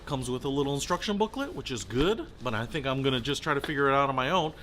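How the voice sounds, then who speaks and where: A man's voice: mid-range voice, lively intonation, fast, noisy background. A man presenting a product review in an upbeat, informal, colloquial way, talking through a hands-on demonstration. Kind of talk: casual product demonstration to camera.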